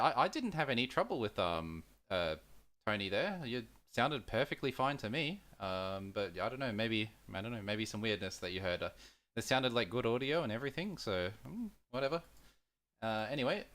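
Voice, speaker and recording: A man's voice: medium pitch, very animated, moderate pace, fairly bright tone. A man at his home radio station chatting casually with others on the net, thinking aloud with many fillers. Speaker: ham radio operator.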